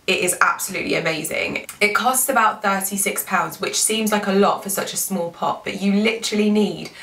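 Young woman, congested cold voice, bright, chatty, frequent fillers, roomy echo. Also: on the low side. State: congested, lost voice, sore throat. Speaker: beauty vlogger. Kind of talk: casual product review. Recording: echoey room, mic a bit far.

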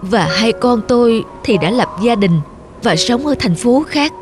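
A lonely middle-aged woman in a cartoon, speaking with regret and longing. Clear music runs underneath her words. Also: fairly high pitch, lively intonation, measured pace. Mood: regretful. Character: lonely, regretful older woman living alone. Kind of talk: Vietnamese cartoon voice acting.

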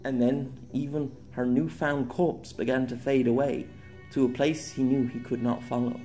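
A man narrating his own story as an audiobook, in a steady, measured voice. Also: mid-range voice. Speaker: author and narrator. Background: faint music.

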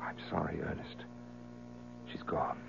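An actor playing a sober, consoling friend with measured delivery in a 1970s radio drama. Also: male voice, natural voice. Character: steady, consoling male friend of the mourner. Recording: muffled old radio recording, mains hum.

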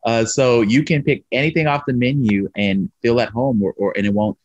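A man's voice: mid-range voice, normal speed, a little mellow, no echo. A man in his thirties, a coffee shop owner, talking earnestly and with animation on a video call from his shop. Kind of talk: interview over video call. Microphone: video call webcam. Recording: slightly muffled video call audio.

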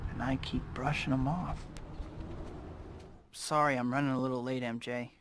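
An actor playing an apologetic young student who is secretly a hero, with an American accent and a hesitant, earnest manner. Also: male voice, medium pitch, little pitch movement, moderate pace, fairly bright tone, a little hiss or hum, lightly acted. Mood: wistful. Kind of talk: video game voice acting.

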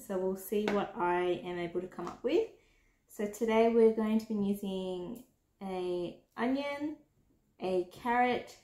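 A woman's voice: mid-range voice, dark tone, reverberant. An Australian woman narrating a casual cooking vlog step by step, talking to her viewers in her home kitchen. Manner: chatty, step-by-step, addresses viewers as guys. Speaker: cooking vlogger.

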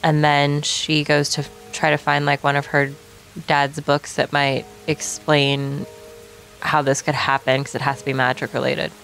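A woman's voice: low-pitched, monotone, measured pace, creaky, poor audio. A woman playing a tabletop game, speaking casually as she describes her character's choices. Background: soft background music.

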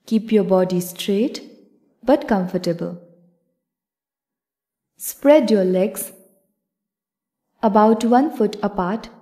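A woman yoga instructor leading a guided meditation in a calm, measured, instructional way. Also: fairly high pitch.

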